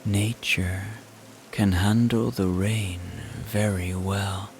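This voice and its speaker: A man narrating a scripted guided meditation in a soothing, hushed, gentle manner. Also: fairly low pitch, fairly even intonation, slow, slight background noise.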